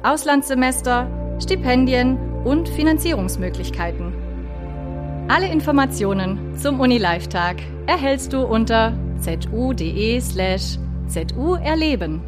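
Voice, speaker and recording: Female announcer, fairly high voice, scripted promo read over clear background music. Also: expressive, a little slow.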